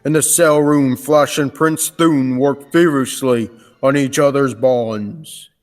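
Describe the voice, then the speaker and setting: A man's voice: mid-range voice, slow pace. A young man narrating an old-time radio adventure serial from a script. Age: teens to young adult.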